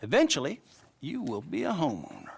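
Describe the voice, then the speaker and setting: Smug old west banker in a game, american, highish voice that goes up and down a lot, jokey n patronizing. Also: male voice, huge pitch swings, a little fast, gravelly, a little hiss or hum, heavily acted. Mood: teasing, gleeful. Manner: wry, teasing, patronizing. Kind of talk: video game western acting.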